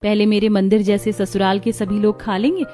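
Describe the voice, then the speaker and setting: A humble young daughter-in-law from a cartoon family story, acted by a woman. She speaks earnestly and respectfully at a middling pitch, eager to please, over music. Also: flat delivery, fairly quick, warm tone, natural voice. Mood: earnest, dutiful. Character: humble devoted young village daughter-in-law, sweet and dutiful. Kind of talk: Hindi moral cartoon story dialogue.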